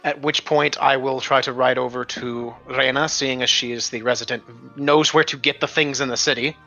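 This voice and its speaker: A man's voice: medium pitch, steady tone, moderate pace, pushed and bright. A man playing a tabletop roleplaying game, switching between casual table talk and speaking as his character. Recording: muffled lo-fi audio under faint music. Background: music.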